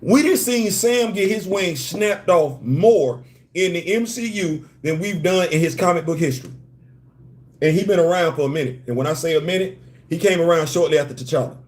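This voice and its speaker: A man from New York with a hint of a Southern accent hosts a live stream, his voice sitting a little high for a man. He talks in emphatic, slangy bursts, repeating lines for weight and calling out to viewers as they join. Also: normal speed, a little mellow. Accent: Southern-tinged New York. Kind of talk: animated live-stream commentary.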